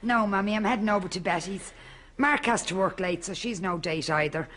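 A woman's voice: mid-range voice, fairly quick, fairly bright tone, lightly acted. A woman playing a caring, wry adult daughter in a sitcom, speaking naturally with an Irish accent. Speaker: sitcom actress. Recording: clear background noise, studio audience.

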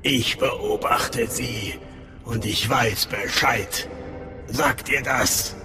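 A menacing cyborg dark lord from a video game. He talks slowly, with scornful, commanding arrogance. Loud music plays beneath him. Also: mid-range voice. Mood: threatening. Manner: imperious, threatening. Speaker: villain. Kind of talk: scripted video game acting in German.

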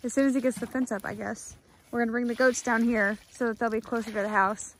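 A woman's voice: fairly high pitch, fairly even intonation, fast. A young woman narrating a casual farm vlog, chatty and explaining the work as she films outdoors on a snowy farm. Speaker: farm vlogger. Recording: outdoor recording with clear background noise.

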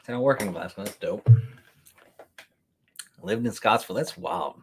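A man in his thirties talks loosely to his livestream audience, answering chat questions as they come. His voice sits on the low side, easygoing and unhurried. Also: a little hiss or hum. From Kentucky. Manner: relaxed, chatty, answers chat questions on the fly. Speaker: sneaker livestream host. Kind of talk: casual livestream chat reading viewer comments. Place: room with shelves of sneakers, indoors.

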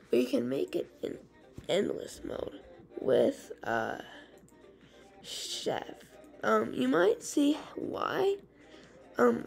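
A woman with a raspy, hoarse voice giving rambling, casual commentary while playing a game. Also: a little high, darkish tone. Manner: rambling, chatty, full of fillers. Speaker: gaming channel host.

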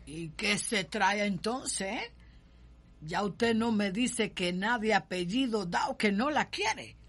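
An actress playing a blunt, probing servant woman with a Cuban accent and husky voice in a radio soap opera. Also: medium pitch, animated, slow, a bit bright. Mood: insistent. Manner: pointed questioning in folksy speech. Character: blunt, probing servant woman confronting her young mistress.

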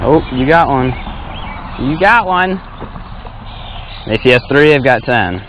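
A young man in his twenties, casually teasing and keeping score out loud while fishing from a small boat on a lake. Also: medium pitch, animated, a little slow, muffled recording, a little hiss or hum. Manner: teasing, keeps score aloud. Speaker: fishing vlogger. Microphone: action camera on a chest harness.

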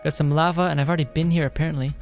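A young man giving casual, excitable let's-play commentary in a chatty way, close on a studio microphone. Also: a little high, very fast, soft background music. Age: young adult. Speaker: gaming video host. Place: indoor room at a desk. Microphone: close dynamic studio microphone.

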